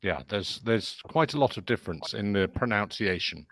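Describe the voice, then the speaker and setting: A man tutoring English on an online call, explaining patiently and slowly. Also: lowish voice, lively intonation, a bit bright, slight background noise. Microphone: video call.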